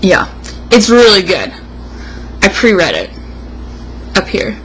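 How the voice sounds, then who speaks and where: A woman's voice: medium pitch, sing-song, slow, fairly bright tone, a little hiss or hum, rough recording. A young American woman reading aloud in a mock-dramatic, sarcastic way with casual asides. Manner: sarcastic, emphatic delivery. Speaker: co-host.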